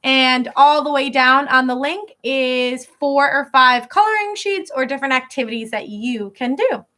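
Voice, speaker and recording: A woman teacher reading a picture book aloud to young pupils, pausing to explain words in simple terms. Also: high-pitched, pushed and bright.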